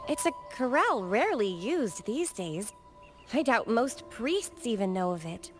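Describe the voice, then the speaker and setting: An actress playing a shy, earnest young priestess in a video game, gentle, polite and hesitant. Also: female voice, fairly high pitch, big pitch swings, unhurried. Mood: thoughtful. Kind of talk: English video game voice acting. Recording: game music behind the voice. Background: game music.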